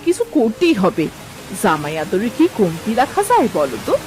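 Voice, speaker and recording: A lively, expressive voice for a middle-aged village housewife acted by a woman in a cartoon. She is caring, homely and insistent, with music underneath. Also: mid-range voice, normal speed, lightly acted. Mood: coaxing, insistent. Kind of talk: Bengali cartoon dialogue.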